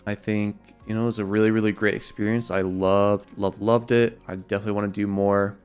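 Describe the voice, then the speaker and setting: A young man with a slight natural rasp and a lowish voice chats casually with his stream audience. He rambles loosely, full of fillers and restarts. Soft music plays faintly behind him. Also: little pitch movement, fairly quick. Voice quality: slightly raspy. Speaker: streamer answering viewer questions. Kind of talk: casual livestream Q&A chat.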